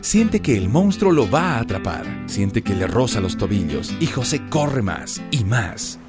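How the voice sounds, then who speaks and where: A man's voice: on the low side, sing-song, unhurried. A man with a Bolivian accent narrating a radio drama as its storyteller, in a dramatic scripted style. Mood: suspenseful. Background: prominent music.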